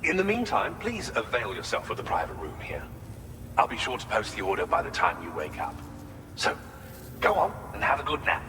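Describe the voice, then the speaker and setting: Courteous, animated scientist in a reverberant hall. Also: male voice, on the low side, a little fast. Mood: courteous, friendly. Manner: formal, wordy, precise British-style phrasing. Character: courteous, enthusiastic male scientist and inventor, eager to explain. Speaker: researcher and quest giver. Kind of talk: scripted game cutscene dialogue. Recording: long hall reverb, clear vehicle ambience noise.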